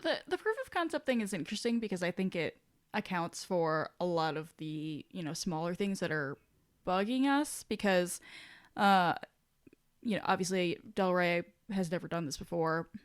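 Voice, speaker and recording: A woman's voice: lowish voice, expressive, normal speed, no echo, studio quality. A woman co-hosting a podcast in casual discussion, talking in a rambling, self-deprecating way. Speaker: podcast co-host.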